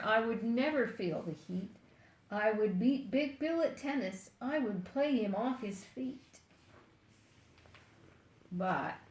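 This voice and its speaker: Older female storyteller, unhurried picture-book read-aloud, playful and direct with children, echoey room. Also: mid-range voice, darkish tone. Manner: deliberate, playful, addresses young listeners directly.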